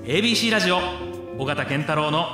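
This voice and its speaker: A man's voice: fairly high pitch, lively intonation, quick pace, over music. A middle-aged man hosting a radio show in a casual, chatty style, close to a studio microphone. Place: small indoor studio. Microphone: close studio microphone on a stand.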